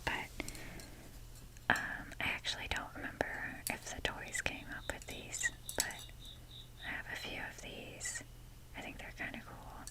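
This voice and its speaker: A woman whispering softly and breathily in a casual, chatty, self-deprecating ASMR ramble, with the odd mild swear. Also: measured pace, long pauses. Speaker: ASMR video creator.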